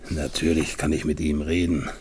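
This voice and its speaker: An actor in a German radio play voicing a tormented, dying polar expedition leader who talks haltingly to himself, husky and gravelly. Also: male voice, rumbling low, level tone, a little mellow, full character voice. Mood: self-reproachful, agitated. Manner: halting, repeats words, swings between murmurs and desperate calls.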